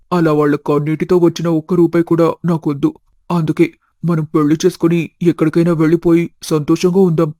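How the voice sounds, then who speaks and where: A man's voice: a little high, dark tone, no echo, clean studio sound, close to an everyday voice. A man playing an earnest, lovestruck young man with firm principles in scripted cartoon story dialogue.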